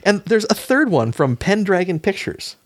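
A man's voice: high-pitched, big pitch swings, moderate pace, dry recording. A man hosting a casual film discussion, bantering and giving firm opinions. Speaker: podcast host.

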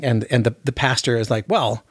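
A man's voice: mid-range voice, fairly quick, husky, no echo, clean studio sound. A man hosting a casual film discussion, often repeating words as he works out his thoughts. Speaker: podcast host.

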